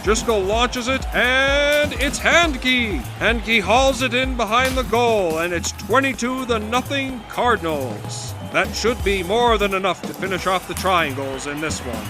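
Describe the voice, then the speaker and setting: An actor playing an excited 1920s football announcer calling play-by-play in an audio drama. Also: male voice, sits high, unhurried, hardly any pauses, music in the background. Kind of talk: sports commentary.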